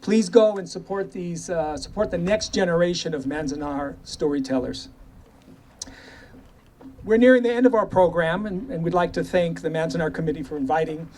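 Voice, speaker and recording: A man's voice: on the high side, normal speed, darkish tone. An older man co-hosting an event, giving informal thanks to the people involved. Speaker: event co-host. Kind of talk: informal thank-you speech. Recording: live event, faint background noise. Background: faint ambient noise.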